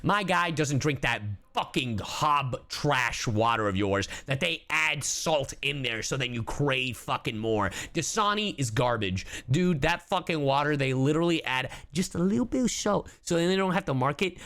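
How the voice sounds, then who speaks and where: A man hosts a casual livestream reaction. His pitch moves in lively swings as he jokes with his chat. He swears freely and keeps the commentary loose and irreverent. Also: a little slow. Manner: irreverent, joking, heavy swearing. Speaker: streamer, reaction host.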